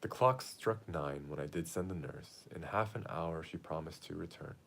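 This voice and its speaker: A man's voice: deep voice, moderate pace, creaky, a bit bright, a little hiss or hum. A man reading the part of an impatient, lovesick teenage girl from a Shakespeare play, in a declamatory, pleading way. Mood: restless longing. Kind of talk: amateur read-aloud of Shakespeare play.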